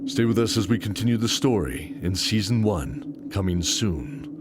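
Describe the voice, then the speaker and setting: A man's voice: on the low side, animated, moderate pace, noisy background. A man narrating a scripted audio drama in a dramatic storytelling style, with music behind him. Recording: loud music bed behind.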